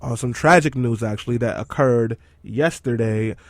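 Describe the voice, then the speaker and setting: A man with a hoarse voice co-hosting a talk radio show in a studio, joking with his co-host and then speaking seriously about the news. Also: medium pitch, moderate pace, no echo. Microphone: studio microphone.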